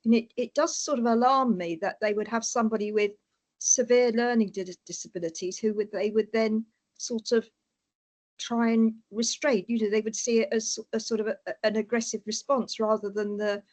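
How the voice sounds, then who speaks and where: A woman with a British accent, a board member asking a question in a halting way with many fillers. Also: on the high side, fairly even intonation, darkish tone. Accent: British English.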